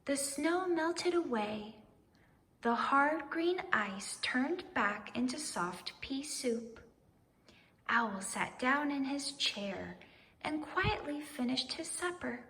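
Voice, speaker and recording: A woman reading a children's story aloud in expressive narration, with deliberate, dramatic phrasing. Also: high-pitched, big-room sound. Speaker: storybook reader.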